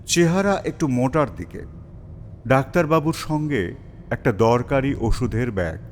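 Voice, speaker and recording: A man's voice: mid-range voice, lively intonation, unhurried, a little hiss or hum. A man narrating a scripted story as its storyteller.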